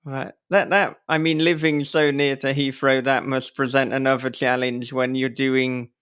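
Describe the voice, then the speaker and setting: A man hosting a casual interview podcast, speaking in halting, thoughtful phrases as he talks with a guest. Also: medium pitch, little pitch movement, fairly bright tone, clean tone.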